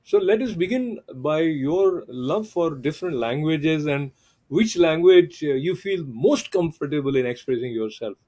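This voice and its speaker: A middle-aged man hosting a TV interview, speaking in a measured way with frequent filler pauses. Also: a little high, animated, dark tone. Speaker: TV interview host.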